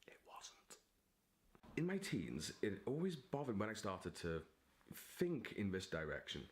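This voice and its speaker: A man talking casually to camera as a vlogger and writer, in a theatrical, giddy, digressive manner. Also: fairly low pitch. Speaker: vlogger and aspiring novelist.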